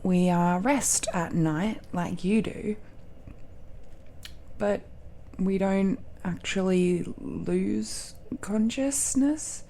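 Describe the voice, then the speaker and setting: A woman playing an affectionate, nervous dragon-blooded young woman in an intimate romantic audio roleplay, speaking softly and haltingly. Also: mid-range voice. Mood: nervous, embarrassed. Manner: hesitant, halting confession with nervous laughs and sighs.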